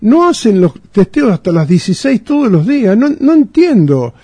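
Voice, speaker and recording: A man's voice: high-pitched, sing-song, moderate pace, mellow, breathy edge, no room sound. A man hosting a radio show, talking in an indignant, emphatic way and repeating his points. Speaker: radio host. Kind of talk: radio talk.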